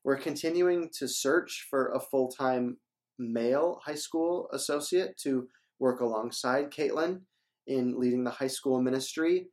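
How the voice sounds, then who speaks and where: A man's voice: medium pitch, unhurried, darkish tone. A young man from Minnesota, a church staff member hosting a casual interview, upbeat and promotional and often repeating words.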